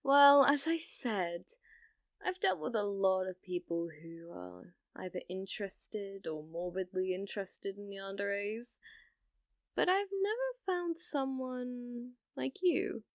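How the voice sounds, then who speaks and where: A woman's voice: mid-range voice, animated, no room sound, clean studio sound. A woman playing a flirty, possessive yandere rental date in an ASMR roleplay, teasing and coy, coaxing the listener. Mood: amused, musing. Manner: teasing, coy, slow and deliberate, drops to whispers.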